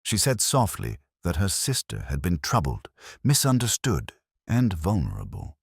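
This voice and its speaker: Very deep-voiced man narrating a detective story. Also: wildly swooping pitch, moderate pace, gravelly, husky, no room sound, studio quality. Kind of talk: scripted first-person literary detective narration.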